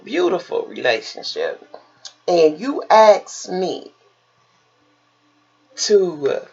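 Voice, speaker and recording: A woman vlogger telling stories in a casual, animated style, emphatic and theatrical, often acting out conversations and throwing out rhetorical questions. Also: low-pitched, vocal fry, muffled recording.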